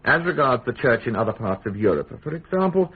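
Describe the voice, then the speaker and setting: An actor playing a silky Tudor royal secretary in a radio drama, British, falsely cordial, probing and precise. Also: male voice, medium pitch, sing-song, fairly quick, breathy edge. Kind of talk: period radio drama acting. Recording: muffled phone-like radio drama.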